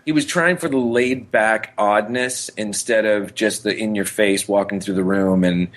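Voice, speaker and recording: A man's voice: lowish voice, normal speed. A man co-hosting a podcast in casual fan discussion, speaking emphatically and repeating phrases for stress. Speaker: podcast co-host. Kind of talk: casual film discussion between fans.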